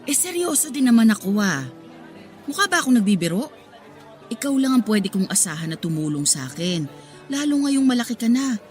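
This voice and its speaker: A woman's voice: a little high, very animated, a little fast, darkish tone, faint music behind, some chatter behind. Exasperated, hard-working market mother. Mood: scolding. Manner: pleading, scolding, motherly. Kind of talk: Tagalog radio drama acting.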